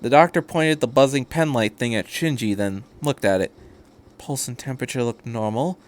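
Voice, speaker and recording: A man's voice: mid-range voice, normal speed. A man reading fan fiction aloud as a podcast host, in scripted narration. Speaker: podcast host and story reader.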